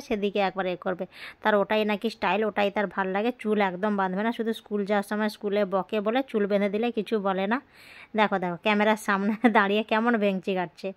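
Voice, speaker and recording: A woman's voice: medium pitch, smooth. A young woman, chatting casually in a rambling way as she records a daily vlog. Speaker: vlogger.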